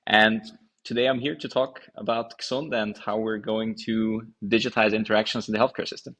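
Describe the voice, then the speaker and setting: A low-voiced man in his thirties, originally from Hungary. He speaks as a founder in an interview, explaining his work in full answers. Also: steady tone, moderate pace, fairly bright tone. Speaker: company founder and CEO, interview guest.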